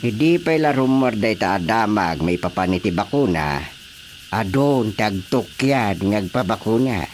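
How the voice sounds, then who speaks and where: A man's voice: on the low side, lively intonation, unhurried, music in the background. A man with a Filipino accent playing a fearful, stubborn elder parent in a radio drama.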